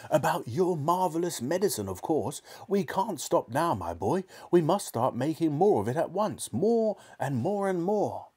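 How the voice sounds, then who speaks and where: A man voicing a wildly excited, bossy farmer father in a storybook, exclaiming emphatically and boastfully. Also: mid-range voice, moderate pace, breathy edge, voice pushed up.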